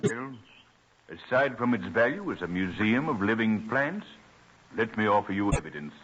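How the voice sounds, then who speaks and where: A dignified colonial statesman, genial and formal, lively pitch, muffled hissy old radio drama. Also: normal speed. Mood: earnest, stately. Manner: formal, eloquent, stately phrasing.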